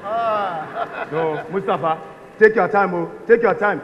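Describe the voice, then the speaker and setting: A man with a Nigerian accent playing a cheeky, excitable layabout in a stage comedy, teasing and exclaiming. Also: high-pitched, slow pace. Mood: mocking, excitable. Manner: exclamatory, sing-song, teasing. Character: cheeky, excitable male layabout, mocking and playful. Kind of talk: comic stage acting in Nigerian Pidgin. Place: indoor theatre stage set. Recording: reverberant stage, dull sound.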